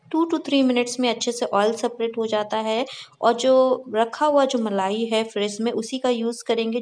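A young adult woman narrating a recipe step by step in a friendly way, hosting her own cooking channel, mixing English words into Hindi. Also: a little high. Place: home kitchen.

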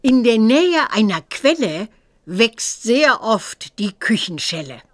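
Slow, lilting witch teacher. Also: a little high, sing-song, no room sound, clean studio sound. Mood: instructive. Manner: deliberate, sing-song rhyming delivery. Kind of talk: children's computer game voice acting.

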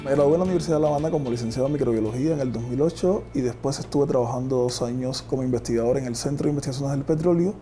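A man's voice: medium pitch, very fast, hardly any pauses, mellow. A man in his thirties with a Cuban accent, a research scientist explaining things in a documentary interview, recorded on a lapel mic. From Cuba. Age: 30s. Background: background music.

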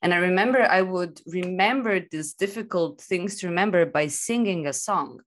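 A woman's voice: on the low side, normal speed. A woman guest on a video call, reflecting on learning and memory, who talks in restarts and laughs easily. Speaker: guest, design thinking. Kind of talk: casual video-call conversation.

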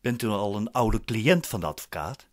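A man's voice: lowish voice, expressive, moderate pace, faint music behind, lightly acted. Radio play defendant, male actor: impatient, commanding, questioning, widely swinging intonation. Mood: inquisitive. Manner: curt, questioning. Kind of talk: radio drama acting.

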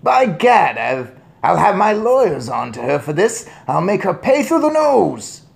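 An irate English father from a children's story, blusters at high volume. His voice rises in pitch as he splutters pompously. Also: sing-song, normal speed, creaky. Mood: indignant.

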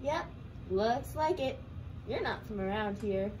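A young woman voicing an unhelpful, deadpan local puppet in a scripted puppet show. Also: on the high side, measured pace, rough recording. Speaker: student puppeteer. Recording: faint background noise.

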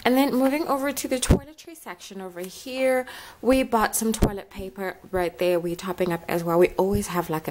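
A young South African woman chatting informally in a casual home vlog, with lots of conversational asides. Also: mid-range voice. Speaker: family vlogger, mother. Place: indoors at home, kitchen and table. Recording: clearly noisy home recording with clicks.

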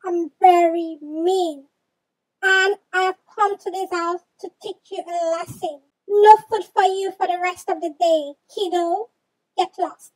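A young female performer voicing a bossy, two-faced babysitter in a children's game roleplay, with a deliberate, commanding manner. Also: extremely high pitch, fairly even intonation, low-quality recording. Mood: mean, bossy. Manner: slow, insulting, commanding. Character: evil babysitter, sugary at first, bossy bully.

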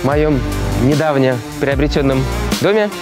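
A man's voice: mid-range voice, unhurried, music in the background. A man in his thirties, a startup founder, explaining things hesitantly in a casual interview. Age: 30s.

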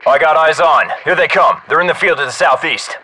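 A man's voice: a little high, a little fast, heavy background noise, close to an everyday voice. A man playing a focused, professional special forces squadmate in a game, giving terse, businesslike callouts. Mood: focused, alert. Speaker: soldier in squad. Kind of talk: military game radio callouts.

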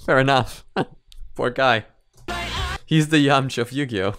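A man's voice: mid-range voice, a little slow, a bit bright, faint music behind. A man in his twenties chatting casually as a reaction channel host, repeating himself for emphasis, close to a microphone at home. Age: 20s. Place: small home room, indoors. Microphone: microphone on boom arm, close.

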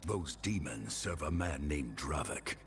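A resolute fantasy game warrior with a deep, breathy rasp. The delivery is slow and solemn yet swings widely in pitch. Music plays behind him. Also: male voice, animated, a little hiss or hum, exaggerated voice. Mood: grave, urgent. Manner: solemn, measured. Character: grim, resolute adult male warrior hunting an enemy. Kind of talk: fantasy video game voice acting.

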